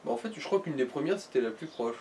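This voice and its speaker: A man's voice: fairly high pitch, very animated, fast, vocal fry, darkish tone, slight background noise. Distant-sounding man joking over a game. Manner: teasing, slangy humour. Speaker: let's play co-commentator. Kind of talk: casual chat, joking commentary on a game. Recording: voice far from the mic.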